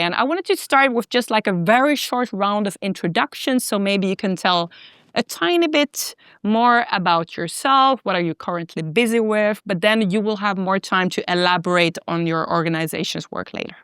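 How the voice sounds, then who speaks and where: A woman's voice: mid-range voice, animated, normal speed, a bit bright, clear, resonant tone, no room sound, studio quality, faint music behind. A woman moderating a podcast discussion, giving polite, brief prompts to her guests.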